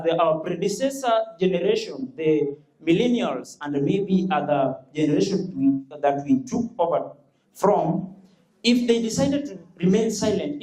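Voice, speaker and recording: Young man, earnest formal debate speech, high voice, echoey hall. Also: darkish tone. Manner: earnest, quoting proverbs, pleading for time. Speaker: student debater.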